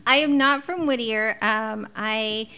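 A woman's voice: on the high side, slow pace, pushed and bright, clear, resonant tone. A young woman, a therapist from Southern California who was born in Mexico, chatting casually as a podcast guest and laughing often.

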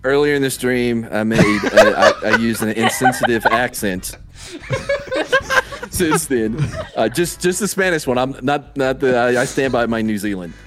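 A man co-hosting a casual streamed group chat, giving a deadpan mock apology and teasing. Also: mid-range voice, unhurried, loud music behind the voice. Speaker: streamer co-host.

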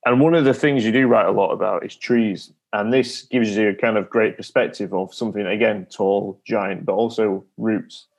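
Man, low voice, literary interviewer, reflective, long discursive questions, associative phrasing. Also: steady tone, normal speed, gravelly, hardly any pauses.

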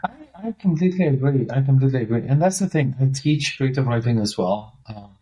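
A man's voice: mid-range voice, animated, fast, warm tone. A man in his forties, an author and writing teacher, explaining earnestly and agreeably in a casual interview. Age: 40s. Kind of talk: casual conversation. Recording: roomy echo.

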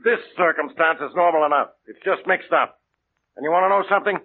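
An actor playing an exasperated male police inspector who grumbles in deadpan sarcasm, in 1940s radio acting. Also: on the high side, quick pace, vocal fry. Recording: tinny phone-like old radio recording.

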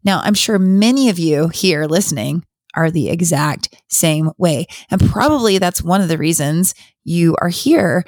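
A woman's voice: lowish voice, expressive, unhurried, a little mellow, dry recording, studio quality. Open, confessional woman podcast host. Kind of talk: casual solo podcast talk.

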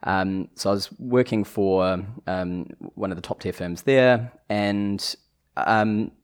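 A man in his thirties with a New Zealand accent, chatting as a podcast guest, often restarting and pausing with fillers. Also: on the low side, clear, resonant tone. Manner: hesitant, many restarts and fillers. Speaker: podcast guest, chief commercial officer. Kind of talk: casual podcast conversation.

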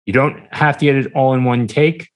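A man's voice: medium pitch, brisk, poor audio. A man chatting casually as a podcast guest, hesitant and rambling, often repeating himself, on a podcast microphone. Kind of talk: casual interview chat. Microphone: standard podcast microphone.

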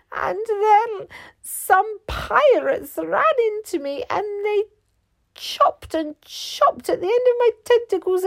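A woman voicing a sad, gentle female giant octopus in a bedtime story, speaking in a very high, plaintive voice. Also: exaggerated voice.

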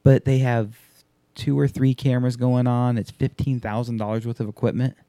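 Man, 30s, podcast host; casual, profane, animated storyteller; mellow tone, dry close recording. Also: mid-range voice, normal speed, dry recording. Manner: loose, profane, animated storyteller acting out quotes. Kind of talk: casual chat.

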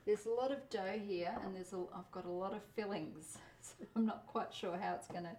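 A middle-aged woman who grew up speaking Polish, talking thoughtfully in a relaxed interview while cooking, with the odd soft laugh. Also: medium pitch, roomy sound, low-quality recording. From Australia. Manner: thoughtful, measured, laughs softly. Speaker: interviewee, home cook. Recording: faint background noise.

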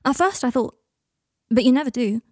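A woman's voice: sits high, lively intonation, normal speed, darkish tone, no echo, clean studio sound, voice pushed up. Woman acting a hurt, exasperated young man, emphatic. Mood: bitter, accusing. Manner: emphatic, accusing, pleading.

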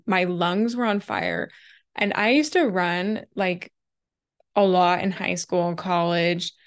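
A young woman in her twenties hosting her own podcast in a casual, chatty solo talk, her voice a little raspy and winded after a run, with lots of "like" fillers. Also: fairly low pitch. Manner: chatty, filler-heavy with frequent "like". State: slightly raspy, winded from running. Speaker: podcast host.

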